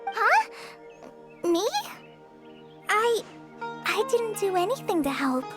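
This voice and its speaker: A woman playing a shy, kindly teenage girl in a video game, speaking gently and hesitantly. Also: very high-pitched, very animated, slow, music in the background, heavily acted. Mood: flustered, shy. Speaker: voice actor in a game. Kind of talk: video game story dialogue.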